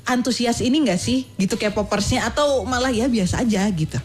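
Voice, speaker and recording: A woman hosting a radio chat show, keeping the talk moving with quick, lively replies. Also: on the high side. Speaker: radio host. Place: radio studio.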